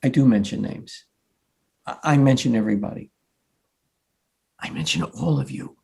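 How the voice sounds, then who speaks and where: A man playing an earnest, flustered sixteen-year-old playwright son in a staged reading, defensive and mock-modest, with a husky voice. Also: medium pitch, animated, moderate pace, a little mellow, full character voice. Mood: nervous, mock-modest. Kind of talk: live staged play reading.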